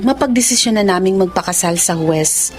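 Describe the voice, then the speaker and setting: A woman's voice: mid-range voice, animated, brisk, lightly acted. A woman acting a troubled wife who narrates in a confiding way for a Filipino radio drama. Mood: troubled, reflective. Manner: reflective, confiding. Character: troubled young wife recounting her marriage. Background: music.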